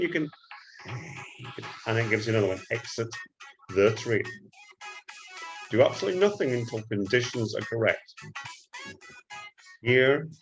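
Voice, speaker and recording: A Scottish man giving a trading tutorial, talking up his strategy in an excited salesman patter and often calling out "ladies and gentlemen.". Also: medium pitch, highs cut off. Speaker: forex trading instructor. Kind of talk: screen-share trading tutorial.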